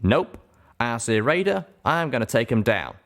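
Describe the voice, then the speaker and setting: A man's voice: medium pitch, big pitch swings, normal speed, fairly bright tone, voice pushed up. A man voicing a proud, stubborn cowboy pony gunslinger with folksy, drawling speech in a storybook reading. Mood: defiant.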